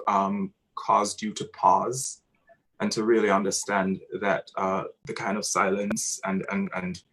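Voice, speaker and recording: A young man with a Jamaican accent speaks in a low, steady voice that barely rises or falls. He talks earnestly and haltingly, like someone holding back emotion, in a reflective artist talk. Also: poor audio. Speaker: theatre director.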